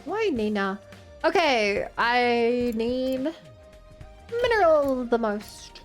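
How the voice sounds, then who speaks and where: A woman in her twenties commentating a game stream, rambling through jokes and stories aimed at her chat. Her voice sits a little high. Faint game music plays behind her. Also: big pitch swings, extremely slow. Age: 20s. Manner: rambling, joking, talks to chat. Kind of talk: casual gameplay commentary.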